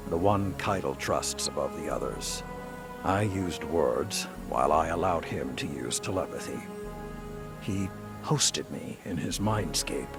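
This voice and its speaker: A battle-hardened old game commander with an extremely low, gravel-edged voice. He is stern and solemn, weighing every word at a slow, measured pace. Music plays behind him. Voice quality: deep, gravelly. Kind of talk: dramatic video game dialogue.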